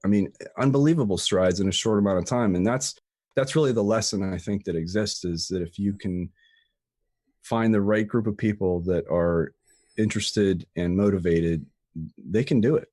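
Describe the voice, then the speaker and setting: A man's voice: lowish voice, normal speed, dark tone, no room sound, studio quality. A man talking casually as an interview guest, rambling with frequent restarts and fillers. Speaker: guest, tutorial creator.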